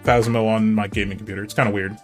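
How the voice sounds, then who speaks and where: A man's voice: lowish voice, little pitch movement, fast talker, soft background music. A man with a nasal voice streaming live, chatting casually and rambling to himself and his chat while he sets up.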